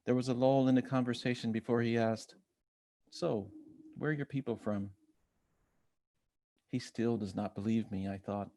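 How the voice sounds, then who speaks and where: An American man reading a personal essay aloud in measured literary narration, voicing bits of quoted dialogue as he goes. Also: medium pitch, steady tone, dark tone. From Chicago, United States. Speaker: guest essayist reading own work.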